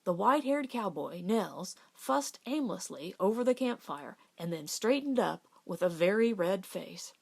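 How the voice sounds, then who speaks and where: A woman narrates an audiobook of a novel. Her voice sits at a medium pitch. The delivery is scripted literary reading. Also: expressive, a little slow, gravelly, few pauses, husky.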